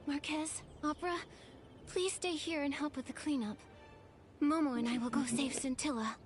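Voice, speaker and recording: A woman playing a kind, resolute young heroine in a video game, with a gentle, sincere manner. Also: sits high, fairly even intonation, normal speed, over music, lightly acted. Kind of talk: video game cutscene acting.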